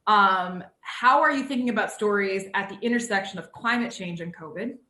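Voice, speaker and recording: An American woman moderates a video panel in a casual, searching way, often thinking aloud. Her voice is bright and forward, at a middling pitch for a woman. The call carries a clearly roomy echo. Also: moderate pace. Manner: informal, hesitant, thinking aloud. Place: indoor room, on Zoom call.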